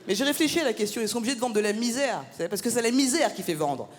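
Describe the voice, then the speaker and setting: A woman from France works a live theatre crowd with a stand-up set. Her voice sits high, and she banters with the audience in quick, punchy jabs. A long hall reverb trails every line, over faint room noise. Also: expressive. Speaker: stand-up comedian. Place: large theatre stage.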